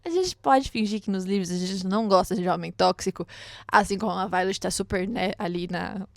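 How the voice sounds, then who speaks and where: A woman's voice: mid-range voice, fairly quick, vocal fry, no room sound, studio quality. A Brazilian woman hosting a book read-along, commenting casually and expressively like a chatty, gushing fan.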